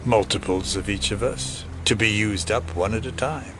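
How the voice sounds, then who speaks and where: An actor playing a cold, scheming older conspirator who talks in formal, condescending, wordy sentences in an audio drama. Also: male voice, fairly low pitch, moderate pace, close to an everyday voice. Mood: grave, conspiratorial. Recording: clearly noisy background ambience.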